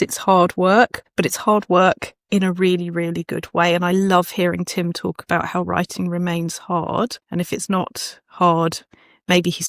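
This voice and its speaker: A woman's voice: fairly low pitch, dry recording, studio quality. A woman hosting an interview podcast, speaking as a presenter to listeners and guests. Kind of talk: read introduction and conversational interview.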